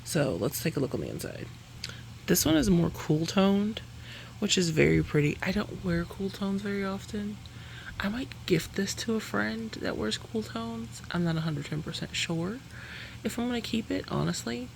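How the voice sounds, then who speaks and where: A woman vlogger talks casually through a makeup review, her pitch swinging up and down as she chats. Her voice has a gravelly fry, and she rambles and corrects herself as she goes. A faint noise sits behind her. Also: lively intonation, normal speed, low-quality recording. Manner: chatty, rambling, self-correcting; laughs between sentences. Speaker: beauty vlogger.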